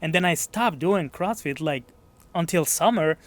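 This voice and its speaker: A man's voice: fairly high pitch, normal speed, a bit bright. A man from Mexico with a Mexican accent, speaking English as a second language in a casual gym interview, modest and searching for words. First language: Spanish. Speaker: gym member being interviewed.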